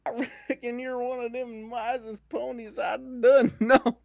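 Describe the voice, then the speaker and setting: A woman's voice: on the high side, flat delivery, unhurried, creaky, a little mellow, no echo, studio quality, pitched above the performer's own voice. A woman voicing a folksy, cheerful cartoon cowgirl pony with a put-on American Southern accent. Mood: folksy, sincere.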